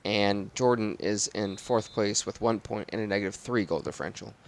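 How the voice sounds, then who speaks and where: A man with a raspy voice doing live football game commentary and stream chat in sportscaster phrasing. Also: fairly low pitch, moderate pace.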